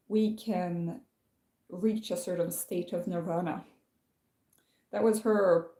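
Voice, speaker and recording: A woman's voice: fairly low pitch, mellow, reverberant. A young woman from France chatting on her own livestream, rambling with lots of fillers and restarts. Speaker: channel host. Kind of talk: casual livestream chat.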